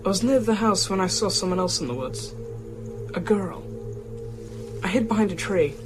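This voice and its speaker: A woman's voice: mid-range voice, expressive, normal speed, over music, lightly acted. A woman playing a bold, earnest young American boy who hunts vampires in a radio drama. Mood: matter-of-fact.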